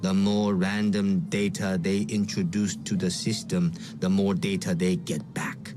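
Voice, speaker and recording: A man's voice: low-pitched, little pitch movement. An actor playing a cryptic, rambling game character who stammers and drops into whispers as he explains a grand scheme, with music behind him. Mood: musing. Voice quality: halting, stammering, then whispery and intense. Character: cryptic, rambling male conspirator explaining chaos theory. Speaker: game character briefing the player. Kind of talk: voiced game cutscene.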